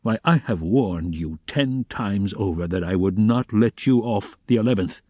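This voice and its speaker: A man's voice: lowish voice, unhurried, no echo, voice pushed down. A man voicing an enraged, pompous police officer scolding at full volume. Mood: furious. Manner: shouting, scolding.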